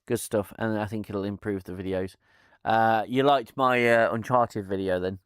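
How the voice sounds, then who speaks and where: Man, lowish voice, chatty live-stream host, rambling restarts, dry close lavalier sound. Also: steady tone, moderate pace, smooth, no echo. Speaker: channel host. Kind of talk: casual live-stream chat. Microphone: lavalier mic.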